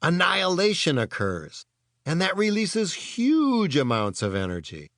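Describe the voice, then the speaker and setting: Storybook boy inventor, read by a man: eager, emphatic explainer with very animated pitch, closely recorded. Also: measured pace, dry recording, clean studio sound, exaggerated voice. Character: earnest, excitable boy inventor explaining science.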